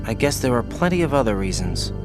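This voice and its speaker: A man's voice: medium pitch, sing-song, quick pace, natural voice. Actor as a terse young soldier guy in a game cutscene, doubting everything, music playing behind him. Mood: probing, disbelieving. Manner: terse, sceptical, questioning. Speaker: video game character. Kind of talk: scripted game cutscene dialogue.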